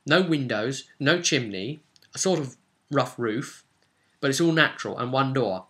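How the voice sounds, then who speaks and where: A man's voice: medium pitch, animated, a little slow, fairly bright tone. A man narrating a tabletop fantasy role-play session as game master, telling it dramatically and off the cuff in vivid, emphatic short phrases.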